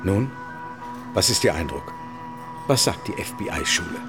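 An earnest defence lawyer in a radio play. His lowish voice is grave and sober, with music clearly behind it. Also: sing-song, measured pace, full character voice.

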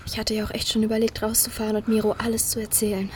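Woman playing a withdrawn young dj girl, kinda high voice, snarky and hesitant, audio drama talk. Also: monotone, racing, background noise, natural voice. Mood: reflective. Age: young adult. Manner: hesitant, colloquial, mumbled asides. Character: troubled, stubborn young woman DJ, withdrawn and sarcastic. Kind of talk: naturalistic audio drama dialogue.

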